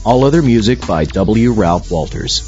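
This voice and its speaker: A man's voice: lowish voice, lively intonation, moderate pace, a little mellow, soft background music. A man reading scripted credits as the announcer of an audio show. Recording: dull lo-fi audio.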